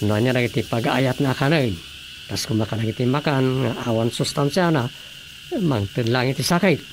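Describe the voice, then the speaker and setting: An actor with an Ilocano accent playing a village councilor in a radio drama comedy, with a gravelly voice. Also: mid-range voice, brisk, close to an everyday voice. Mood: opinionated. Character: village councilor discussing health and bad habits. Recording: noisy radio drama track.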